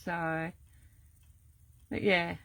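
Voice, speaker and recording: An older woman, chatting casually through a live card-making demo, rambling with many ums and restarts, her voice going croaky. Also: fairly low pitch, level tone, extremely slow, a bit bright, no room sound. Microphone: camera set up at desk.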